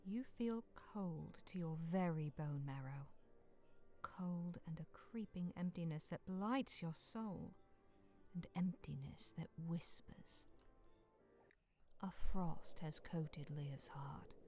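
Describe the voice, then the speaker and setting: Solemn, lower-pitched woman narrating a story. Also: animated, slow, mellow, faint music behind. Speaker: narrator of a visual novel. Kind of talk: scripted second-person dramatic story narration.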